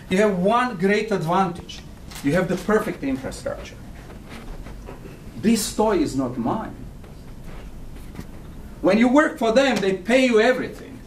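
A man's voice: sits high, a little hiss or hum. A man in his sixties from Croatia, speaking English with a Croatian accent, giving an emphatic, provocative keynote lecture and moving about the stage. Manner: emphatic, provocative, pacing and gesturing. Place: large conference hall. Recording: echoey hall from far off.

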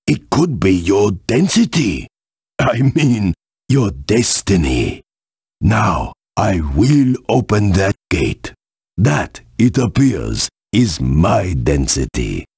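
A wise old dragon sensei for a video game. The voice is very low, crackling with gravel, and rises and falls in a slow, ceremonious way. He sounds solemn and slightly bumbling. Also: sing-song, darkish tone, no room sound, clean studio sound, full character voice. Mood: approving, bumbling. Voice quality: gravelly, husky. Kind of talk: video game character dialogue.

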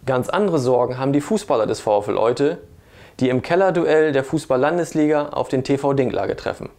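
A male sports reporter delivers a scripted preview in a studio. His voice sits at a middle pitch for a man, and he sounds like a presenter reading prepared copy. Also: brisk, darkish tone.

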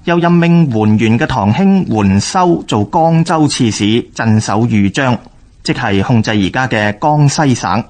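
A man with a Hong Kong Cantonese accent reads scripted historical radio narration in a formal, measured way. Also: mid-range voice, animated, darkish tone.

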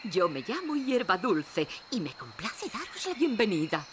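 A woman voicing a calm, watchful, welcoming gnome with a measured, polite manner in a cartoon dub. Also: mid-range voice. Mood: calm welcome. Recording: loud music behind the voice.

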